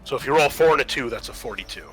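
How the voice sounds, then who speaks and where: A man's voice: medium pitch, expressive, rapid-fire, fairly bright tone, soft background music, poor audio. A man acting as game master, explaining tabletop game rules step by step.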